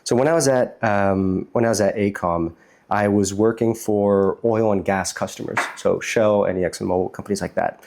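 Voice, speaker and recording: An American man with a fairly low voice speaks as a guest in an interview. He explains things in a casual, conversational way, sprinkled with informal phrasing. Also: moderate pace, creaky, a little mellow. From United States, New York City. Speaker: interview guest, startup chief executive.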